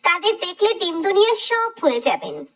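A woman's voice: very high-pitched, huge pitch swings, fairly bright tone, full character voice. A woman voicing a feisty, playful young woman, a sheltered daughter, talking cheekily and dramatically. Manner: cheeky, dramatic, quick-tongued. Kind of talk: romantic audio story acting.

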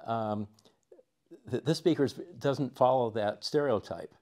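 A man's voice: medium pitch, a little slow, mellow. An older man, conducting a technical interview as a reviewer in a listening room. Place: carpeted home listening room, indoors. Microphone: clip-on lapel mic.

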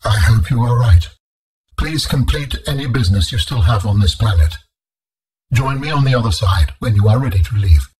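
An actor voicing an ancient, solemn alien in a video game, speaking in formal, measured, grave phrases with a husky tone. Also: male voice, mid-range voice, lively intonation, darkish tone. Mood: calm, grave. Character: ancient alien architect being, male, solemn and calm.

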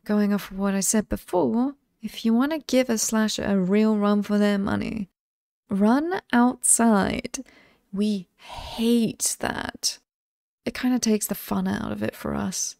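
A woman plays a British slasher killer who is oddly sweet and apologetic. Her voice is creaky and close to the mic in a dry space. She chats wryly, as if confiding to one listener in an ASMR roleplay. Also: medium pitch, slow pace, a little mellow, no echo. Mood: wry, instructive. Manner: conversational, wry, hesitant asides. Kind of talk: ASMR villain roleplay monologue.

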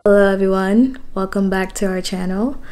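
A woman's voice: medium pitch, fairly even intonation, moderate pace, a little mellow, soft background music. A young woman chatting casually to her phone camera in a bedroom at her sister's place, bubbly and laughing often. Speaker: vlogger. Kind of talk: casual vlog chat. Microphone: phone camera at arm's length.

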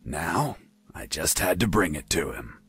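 An actor playing a wry, unflappable escaped prisoner telling his story in a video game, with deadpan delivery. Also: a bass voice, a little slow, husky, no room sound, heavily acted. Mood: deadpan recounting. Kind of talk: video game narration and dialogue.

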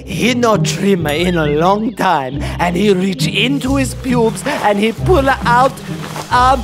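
A man's voice: high-pitched, takes it slowly, over music. A man playing a rambling, crude old Italian storyteller with an Italian accent, theatrical and sing-song in broken English. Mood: animated. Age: older.

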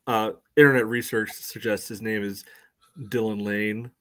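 An American man joins a casual sports conversation over a video call from home. He has a fairly low voice and a dry, understated delivery. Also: fairly even intonation, measured pace, a bit bright. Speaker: co-host. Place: room at home.

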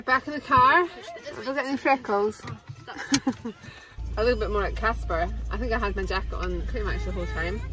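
A woman in her forties filming her family on a phone, giving a playful running commentary outdoors and in the car. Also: mid-range voice. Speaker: vlogger and mother. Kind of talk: casual family vlog narration. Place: outdoors on a beach, then in a car. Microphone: handheld phone camera. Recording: noisy wind and outdoor background.

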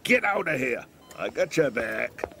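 Grumpy old geezer in a hospital bed, gravelly, slow drawl, soft music under it. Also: male voice, mid-range voice, very animated, full character voice. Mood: cranky. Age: older. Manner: grumbling, sarcastic, bellowing for the nurse. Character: cranky old male hospital patient, crude and teasing. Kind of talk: audio drama acting.

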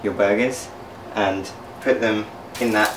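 A man's voice: on the low side, steady tone, slow pace, a little hiss or hum. A young man chatting as he cooks in his home kitchen, laughing at his own mistakes and talking to viewers. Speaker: cooking vlogger. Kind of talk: casual cooking commentary. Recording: echoey kitchen, faint clatter of pans.

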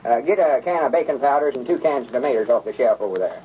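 A man's voice: mid-range voice, animated, quick pace, lightly acted. A man acts an earnest old storekeeper with homespun manners and a rural Southern accent. The radio comedy recording is thin, phone-like and a bit noisy. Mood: busy, obliging. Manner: rustic, homespun talk. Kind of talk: 1930s radio comedy acting.

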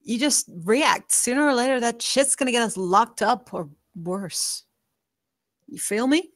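A woman acts out a streetwise mother and crew boss in a drama read. Her voice is fairly high and closely recorded. She is blunt, stern and guarded. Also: measured pace, no echo, studio quality, lightly acted. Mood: stern advice. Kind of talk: script table read.

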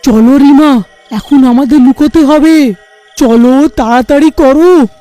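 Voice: high-pitched, measured pace, warm tone, faint music behind. A performer voicing a frightened village husband in a horror cartoon, urgent and fretful. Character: frightened village husband urging hushed caution and escape.

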